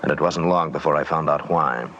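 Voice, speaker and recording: An actor playing an earnest, businesslike expedition leader who narrates and talks in an old-time radio drama. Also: male voice, very deep voice, brisk, fairly bright tone, muffled recording, soft background music. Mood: dramatic narrating.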